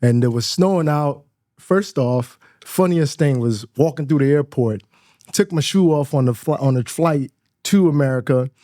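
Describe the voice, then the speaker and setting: A man in his thirties from Nigeria talks as a guest in a studio. His voice is warm and mellow, recorded dry and close. He is reflective and laughs easily, repeating words as he gathers his thoughts. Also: mid-range voice, normal speed, dry recording. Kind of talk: casual interview chat.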